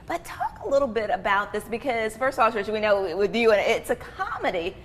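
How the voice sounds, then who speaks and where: A woman's voice: mid-range voice, sing-song, brisk. Woman interviewer in an echoey hall. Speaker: talk show host. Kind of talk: conversational interview on a community TV show. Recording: echoey hall reverb with background noise.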